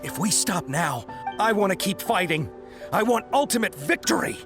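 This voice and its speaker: A woman voicing a loyal, fervent soldier in a video game, earnest and halting as she addresses a superior. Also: medium pitch, animated, music in the background, lightly acted. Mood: desperate, fervent. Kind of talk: scripted video game voice acting.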